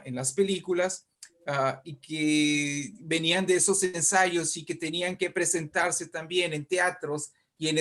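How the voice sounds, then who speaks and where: A man with a fairly high voice and a Latin American Spanish accent. He explains in a conversational way, haltingly, repeating small words while he looks for the right one. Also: a bit bright. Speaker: writer discussing radio drama history.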